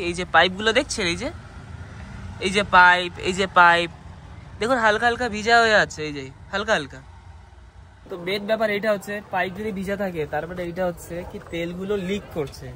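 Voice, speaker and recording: A man's voice: high-pitched, fairly bright tone. A young man with an Indian Bengali accent chats casually to viewers, explaining things and mixing in English words. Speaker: vlogger. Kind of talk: casual chatty vlog to viewers. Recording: noisy outdoor vlog with traffic hum.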